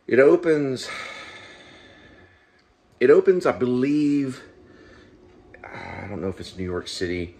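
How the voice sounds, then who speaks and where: A man hosting his own online show, giving rambling, unscripted commentary in a halting, digressive way, as if thinking aloud. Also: mid-range voice, sing-song, warm tone. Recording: clear background noise.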